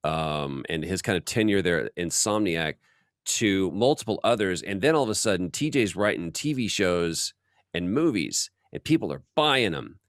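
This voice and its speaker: Deep-voiced man hosting casually. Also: animated, normal speed, dry recording, studio quality. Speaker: host. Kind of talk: casual workshop interview.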